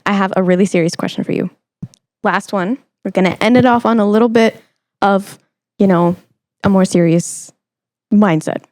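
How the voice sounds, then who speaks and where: A young adult woman hosting a casual interview chat, reacting in an animated, teasing way. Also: medium pitch, normal speed, long pauses. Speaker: podcast host.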